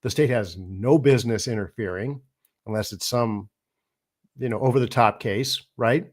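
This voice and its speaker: A middle-aged man hosting a podcast, throaty and hoarse from a cold, giving political commentary in a halting way. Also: medium pitch. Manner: halting, with many restarts and fillers. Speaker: podcast host.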